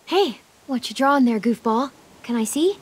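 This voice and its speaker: Tough teen girl zombie survivor for a video game, kinda husky, guarded and dry, short dramatic lines. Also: sits high, lively intonation, moderate pace. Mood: playful.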